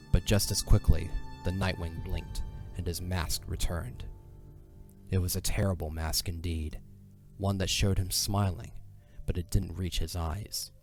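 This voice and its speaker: A man narrating a fantasy audio drama in a solemn, measured, dramatic storytelling style. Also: low voice.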